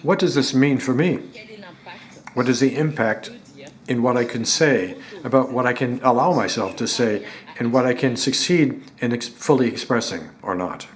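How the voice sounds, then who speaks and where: A man giving a live interpretation of a lecture in a halting delivery, often restarting and repeating words as he goes. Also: mid-range voice.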